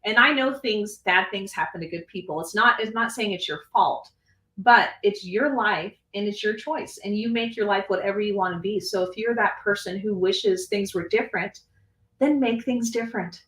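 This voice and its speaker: A woman coach hosts a casual talk, speaking in a firm and encouraging motivational style. Her voice comes from a distance in an echoey room. Her pitch sits in an average middle range. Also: moderate pace, fairly bright tone. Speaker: coach and host. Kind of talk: casual conversation.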